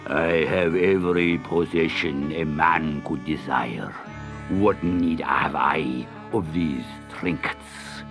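A man voicing a grand storybook Sultan with a pompous, drawn-out, declamatory delivery. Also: extremely low pitch, over music, voice pushed down, full character voice. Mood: bored, haughty. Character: grand, exuberant male Sultan of a storybook palace. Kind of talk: storybook character voice.

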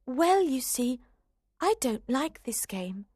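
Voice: high voice, big pitch swings, takes it slowly, a little mellow, no echo, clean studio sound, voice pushed up, exaggerated voice. A storybook narrator voicing a curious, polite but outspoken young girl. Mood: puzzled. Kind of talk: storybook character voice for learners.

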